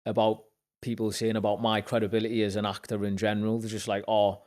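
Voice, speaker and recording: A young man from Zambia with a Newcastle accent, a podcast guest telling lively stories about his work and acting out what people said. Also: fast. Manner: animated storyteller acting out quoted dialogue, lifts in pitch when excited. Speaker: podcast guest, actor and musician. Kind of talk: casual storytelling chat.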